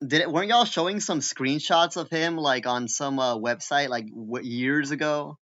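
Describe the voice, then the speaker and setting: A man's voice: fairly high pitch, moderate pace, fairly bright tone, lo-fi sound. A man hosting a podcast in casual, slangy, foul-mouthed chat. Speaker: podcast host.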